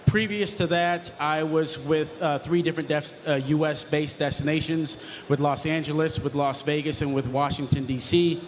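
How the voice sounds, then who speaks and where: Informal guy on a panel, flat voice, slightly high. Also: moderate pace, few pauses, slight background noise. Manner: chatty, informal. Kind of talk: conference panel talk.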